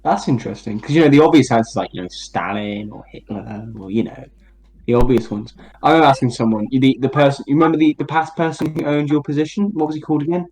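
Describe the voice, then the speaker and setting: A man's voice: medium pitch, quick pace, darkish tone, low-quality recording. A man co-hosting a comedy podcast, asking absurd questions in a dry, fake-serious, hesitant way. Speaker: podcast co-host. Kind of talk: deadpan mock interview.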